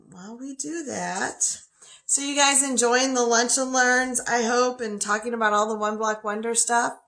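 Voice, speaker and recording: A woman's voice: mid-range voice, fairly bright tone. A woman with a scratchy voice and a slight cough, teaching a casual quilting lesson from her home sewing studio in a chatty, folksy way. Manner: chatty, folksy, talks to her dog between steps. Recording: echoey room.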